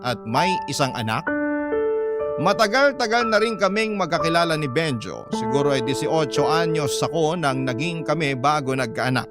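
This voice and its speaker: A man's voice: on the high side, expressive, normal speed. A Filipino man narrating a listener's love story as a dramatic first-person letter reading. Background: loud instrumental music.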